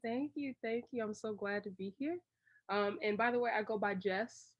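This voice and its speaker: Woman from dc as an interview guest, chatty and rambly, medium pitch, casual. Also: steady tone, moderate pace, dull audio. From Washington, D.C., United States. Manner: long-winded, rambling storytelling. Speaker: interview guest, creative director. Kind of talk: casual, conversational interview answers.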